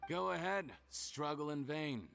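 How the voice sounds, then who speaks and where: A man's voice: fairly high pitch, unhurried, gravelly, a bit bright. A man voicing a scheming, mocking criminal villain in a video game, theatrical and gloating in his delivery. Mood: gloating, sneering. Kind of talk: scripted video game dialogue.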